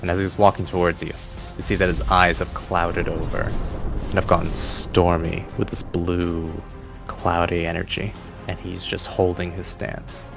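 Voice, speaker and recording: A man's voice: low voice, level tone, measured pace, vocal fry, over music. A man narrating a tabletop fantasy game as game master, with dramatic, descriptive storytelling.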